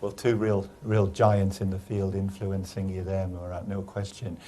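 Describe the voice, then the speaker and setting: Low-voiced British man interviewing a colleague. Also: level tone, moderate pace, a little hiss or hum. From United Kingdom. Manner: warm, encouraging questions with hesitations. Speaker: interviewer, neurologist. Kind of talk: oral history interview.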